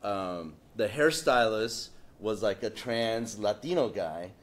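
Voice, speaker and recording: A man's voice: lowish voice, animated, slow pace. A man on a discussion panel telling a casual, rambling anecdote full of fillers, getting indignant as he goes.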